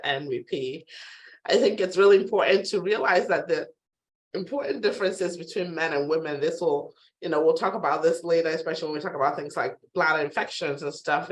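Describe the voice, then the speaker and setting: Hoarse woman doctor giving a webinar talk. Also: sits low, poor audio. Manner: conversational, many fillers, clears throat. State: hoarse from strep throat. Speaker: physician presenter. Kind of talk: webinar lecture. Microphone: video call.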